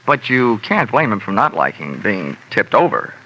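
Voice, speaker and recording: An actor playing a wry, hard-boiled insurance investigator in an old radio drama, with a clipped, wisecracking delivery. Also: male voice, fairly low pitch, very animated, moderate pace, very noisy. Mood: wry, brisk. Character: wry, brisk, hard-boiled male insurance investigator narrating his case. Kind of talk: old-time radio detective drama, first-person narration.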